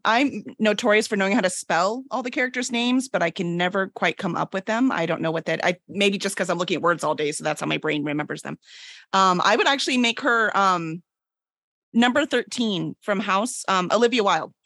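A woman's voice: mid-range voice, lively intonation, a little fast, fairly bright tone, no echo. A woman chatting casually as a guest on a podcast. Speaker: podcast guest, book publisher. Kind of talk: casual chat.

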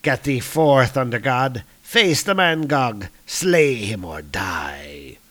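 A man's voice: medium pitch, sing-song, takes it slowly, a bit bright. A man voicing a gloating, scheming usurper prince in a comic-book story, with haughty, theatrical archaic speech.

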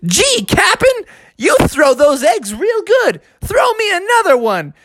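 An excitable young male frog from a children's story. The voice sits very high and swings freely through boyish exclamations and slang. The sound is dry. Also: slow pace, no echo, exaggerated voice. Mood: gleeful. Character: excitable mischievous young male frog, gleeful, whiny when caught.

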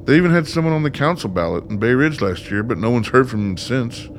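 A man's voice: medium pitch, animated, fast talker, background noise, lightly acted. A man acting in a radio play as a bitter, sarcastic, foul-mouthed son with a blunt manner. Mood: scornful. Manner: blunt, sardonic.

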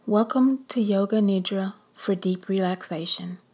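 Woman meditation guide, breathy whisper, very slow and calm. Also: mid-range voice.

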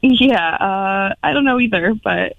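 Female phone-in guest, mid pitch, gravelly fry, hesitant casual talk on a lo-fi call. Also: a little slow, no echo. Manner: hesitant, filler-heavy with "like". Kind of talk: casual chat. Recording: dull lo-fi phone call, dry.

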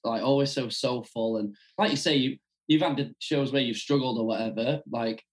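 A man hosts a casual music podcast, his voice at an ordinary middle pitch. He asks his questions in long, rambling runs, circling back and restarting as he goes. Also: normal speed. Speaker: podcast host. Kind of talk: casual interview chat.

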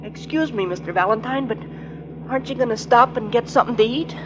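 A woman's voice: fairly high pitch, fast talker, lightly acted. A woman playing an eager, earnest young sidekick to a detective in 1940s radio drama style. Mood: worried, insistent. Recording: noisy old radio recording.

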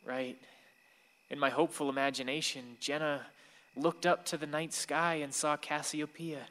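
A man's voice: a little high, fairly bright tone. A man acting a wistful, bitter son of a grieving mother, telling his story earnestly in a radio drama. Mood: wistful, hurt, then fervent, bitter. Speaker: actor in a radio play. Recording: long hall reverb.

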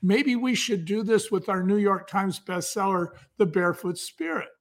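High-pitched, enthusiastic male storyteller. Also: normal speed. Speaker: guest entrepreneur.

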